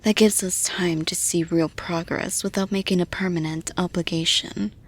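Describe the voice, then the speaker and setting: A woman playing a composed, supportive female boss in an intimate roleplay audio, speaking to the listener in a measured, reassuring, soft manner. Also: lowish voice, fairly even intonation, lightly acted. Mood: calm, reassuring.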